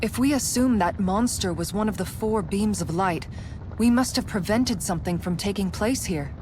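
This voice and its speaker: A woman's voice: mid-range voice, moderate pace, a little mellow, slight background noise, lightly acted. A woman voicing a serious, perceptive young companion in a video game, speaking in a considered way. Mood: pensive. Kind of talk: video game cutscene acting.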